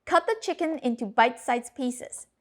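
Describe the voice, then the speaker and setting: Higher-voiced woman teaching a recipe. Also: normal speed, a bit bright. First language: Cantonese. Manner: clear step-by-step instructional delivery. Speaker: cooking channel host. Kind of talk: scripted cooking narration. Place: home kitchen.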